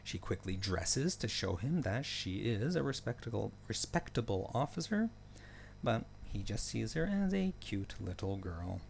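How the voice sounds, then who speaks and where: A man with a low, very creaky voice narrates a story recap. His delivery is casual and conversational, peppered with wry asides. A faint hum sits behind the recording. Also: big pitch swings, measured pace. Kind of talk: casual, loosely scripted story recap commentary.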